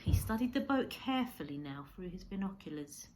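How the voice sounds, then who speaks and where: A woman reading a storybook aloud as a teacher would, expressively, with measured dramatic emphasis. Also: lowish voice, animated, darkish tone, slight background noise, rough recording.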